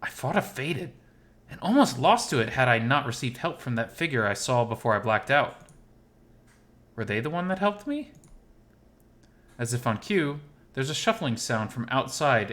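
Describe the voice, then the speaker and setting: A man voicing a lovesick, gently self-mocking male animal character in first-person visual novel narration, intimate and wistful. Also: mid-range voice, lively intonation, moderate pace, natural voice. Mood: pained, puzzled.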